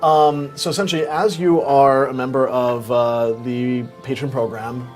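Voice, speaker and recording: A man's voice: mid-range voice, fairly even intonation, measured pace, darkish tone, faint music behind. A man co-hosting a game livestream, upbeat and chatty with lots of fillers. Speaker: co-host on a game stream. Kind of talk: casual chat on a livestream.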